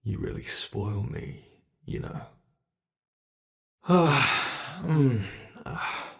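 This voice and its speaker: A sadistic male monster from under the bed, played by a British man, taunting his prey in a gravelly, unhurried voice. He stretches every word out and lets his menace sink into soft whispers. The pitch sits at an ordinary middle height. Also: expressive, heavily acted. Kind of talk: ASMR horror roleplay.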